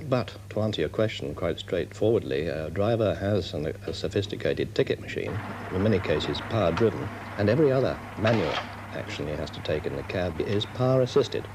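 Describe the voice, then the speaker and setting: A British director answers interview questions in a deep male voice. He is formal and considered, building long, wordy sentences. Faint noise sits under the old film soundtrack. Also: animated, fairly quick. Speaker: company engineering director.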